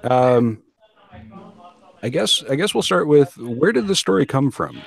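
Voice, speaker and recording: A man guest hosting a casual panel interview, chatty and a little halting as he puts questions. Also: mid-range voice, sing-song, vocal fry. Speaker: guest podcast host.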